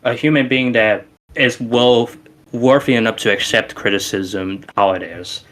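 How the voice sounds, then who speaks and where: A man's voice: mid-range voice, steady tone, unhurried, fairly bright tone. A man with a Romanian accent, a musician guest on a casual podcast, talking in an emphatic, rambling way with many restarts.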